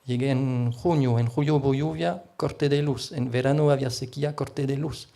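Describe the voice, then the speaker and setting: Gravelly man with a foreign accent. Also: medium pitch, fairly even intonation, mellow. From outside Chile. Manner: halting, searching for words. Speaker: forum panelist. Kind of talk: radio panel discussion.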